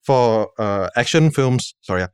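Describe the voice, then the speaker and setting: A man's voice: mid-range voice, moderate pace, clean tone, no room sound, studio quality. A man who grew up in Thailand, speaking as a film director guest on a podcast, telling stories with lots of restarts and quoted voices. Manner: animated storytelling, acts out quoted people, many restarts. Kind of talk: casual podcast chat.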